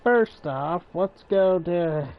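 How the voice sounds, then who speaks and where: A man's voice: high-pitched, very slow, vocal fry, muffled recording. A man streaming a video game, giving casual playthrough commentary and thinking aloud.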